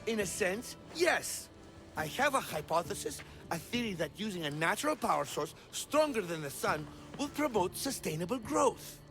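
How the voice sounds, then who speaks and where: Excitable, high-voiced fussy scholar. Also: male voice, normal speed, soft background music, full character voice. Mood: excited. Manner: flustered, wordy, pedantic. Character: eager, fussy male botanist of a mythical city, absorbed in theory. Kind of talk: video game cutscene acting.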